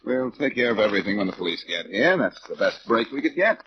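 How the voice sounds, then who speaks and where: Low-voiced, lively radio detective. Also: male voice, fast. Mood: calm, reassuring. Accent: American. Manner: casual, wisecracking. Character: confident, wry male amateur detective, coolly unflappable. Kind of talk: 1940s radio detective drama acting. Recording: muffled, noisy old radio recording.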